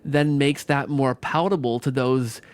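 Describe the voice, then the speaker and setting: A man hosting a podcast interview in a conversational style, with halting, rambling phrasing and self-corrections. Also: mid-range voice, fairly even intonation, clean tone. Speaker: podcast host.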